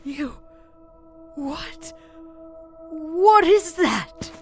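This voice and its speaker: A woman playing a commanding, hostile figure in a fantasy audio drama, urgent and imperious, with loud music behind her. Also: very high-pitched, animated, very slow, heavily acted. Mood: appalled.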